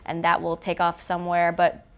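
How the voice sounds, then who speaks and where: A woman co-hosting a film review in casual chat, expressive and playful. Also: on the low side, moderate pace, vocal fry, smooth. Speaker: co-host of a film review show.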